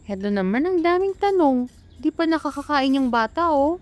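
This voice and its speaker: A woman's voice: high-pitched, expressive, normal speed, darkish tone. A woman with a Filipino accent playing a chatty, teasing middle-aged mother of three in an amateur radio drama. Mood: teasing, welcoming.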